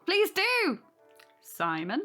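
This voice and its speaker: An actress playing a young single mother in an audio drama, warm, sincere and chatty as she hosts her neighbours. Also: very high voice, huge pitch swings, extremely slow, faint music behind, exaggerated voice. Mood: cheerful, welcoming.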